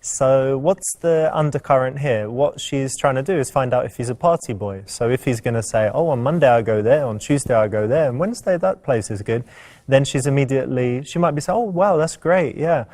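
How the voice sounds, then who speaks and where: Male dating coach, mid-pitched voice, conversational, explanatory commentary. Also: fairly quick, darkish tone.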